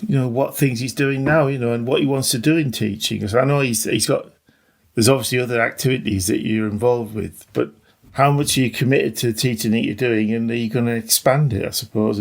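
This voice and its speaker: A man's voice: mid-range voice, fairly quick, creaky. A middle-aged or older man, a teacher, giving casual, reflective interview answers in a hesitant, self-effacing way. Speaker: maths teacher.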